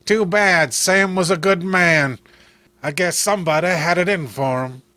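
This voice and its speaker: A skeptical small-town sheriff from a horror comic, voiced by a male reader. He is commanding and brisk, quick to dismiss wild tales. The sound is bright and dry. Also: high-pitched, dry recording. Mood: dismissive. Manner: dismissive, authoritative.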